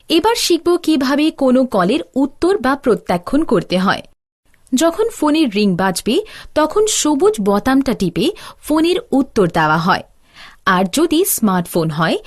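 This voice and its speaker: A woman narrating a scripted tutorial as an instructor, explaining in a didactic, step-by-step way. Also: high voice.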